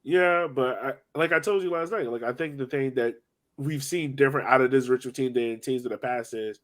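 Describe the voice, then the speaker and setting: A man's voice: medium pitch, fairly quick, a bit bright. Man chatting casually about sports. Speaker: podcast host. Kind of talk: casual sports podcast chat, match recap.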